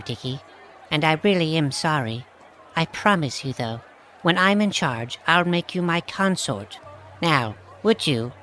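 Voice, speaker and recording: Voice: medium pitch, fairly bright tone, a little hiss or hum, poor audio. An actor playing a haughty, commanding young queen who wheedles to get her way in a fantasy audio drama.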